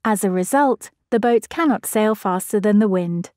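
A woman giving a measured, scripted narration for an educational physics video. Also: mid-range voice, darkish tone, no echo, studio quality.

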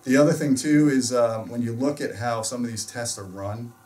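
A middle-aged American man talks through his points as a host in a casual discussion. His voice sits at an average pitch, and he is emphatic and opinionated. The microphone is some way off in the room. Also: steady tone, moderate pace, darkish tone. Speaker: host and audio reviewer. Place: small indoor home theater room. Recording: distant mic in a room.

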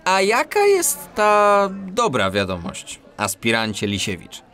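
A man playing an eager, quick-witted cat police detective who narrates a children's audio drama. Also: high-pitched, wildly swooping pitch, bright, forceful tone, exaggerated voice. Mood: curious, wary. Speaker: lead character and narrator.

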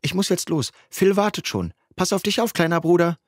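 A man's voice: sits high, animated, fairly quick, no room sound, studio quality, voice pushed up. A man voicing an affectionate, breezy young woman, an older sister in an audiobook. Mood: fond, hurried.

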